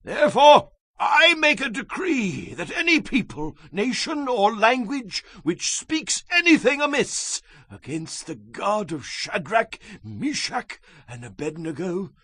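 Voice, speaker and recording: Raspy, imperious ancient king, swooping theatrically. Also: sits high, very animated, slow, sharp tone, dry recording, heavily acted. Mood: forceful decree. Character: proud, wrathful ancient Babylonian king, commanding and grandiose. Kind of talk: dramatized scripture audio drama.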